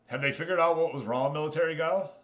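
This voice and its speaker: A man's voice: a little high, fast talker. Chatty American man livestreaming. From United States. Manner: chatty, hops between addressing viewers and explaining. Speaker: live streamer and host. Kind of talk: casual livestream chat with game commentary.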